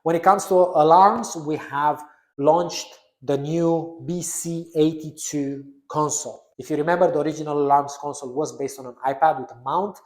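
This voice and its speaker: A man's voice: a little high, steady tone, moderate pace. A man giving an explanatory, semi-scripted product update as a presenter. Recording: roomy echo.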